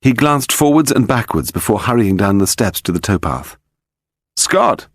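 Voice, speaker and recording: A man's voice: lowish voice, expressive, fairly quick, dry recording, studio quality. A man reading scripted narration of a crime story as an audiobook narrator.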